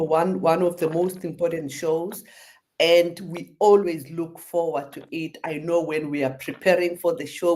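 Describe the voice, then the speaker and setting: A woman's voice: fairly low pitch, darkish tone. A woman hosting a radio interview show, talking effusively with many fillers.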